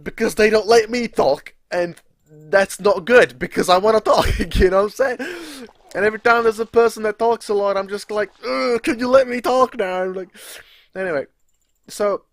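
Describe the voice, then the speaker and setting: A young man with a Czech accent rambles on in a casual, self-mocking way, swearing as he goes. His voice sits a bit high for a man, and the sound is very dry and close to the mic. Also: dry recording. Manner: chatty, swears freely, laughs at himself. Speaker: gaming video creator. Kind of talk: casual rambling live commentary.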